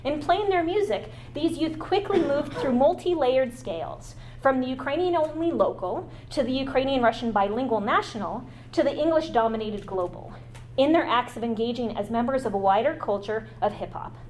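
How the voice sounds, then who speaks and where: Woman lecturer, fairly high voice, halting with fillers, academic talk, faint room noise. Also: lively intonation, a little mellow. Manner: halting, with many fillers and restarts. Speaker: guest lecturer. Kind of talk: academic talk, partly read aloud.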